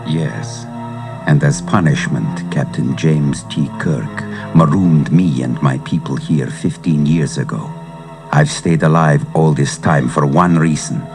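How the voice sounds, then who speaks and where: Gravelly, very deep vengeful villain. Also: male voice, exaggerated voice. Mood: cold, vengeful. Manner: slow, menacing, imperious. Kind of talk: read-along audio adventure acting. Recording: cassette transfer with music underneath.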